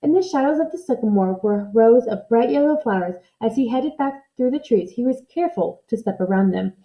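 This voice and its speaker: A woman reading a children's story aloud in an expressive narrating style. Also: fairly high pitch, a little fast, lo-fi sound. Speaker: storybook reader.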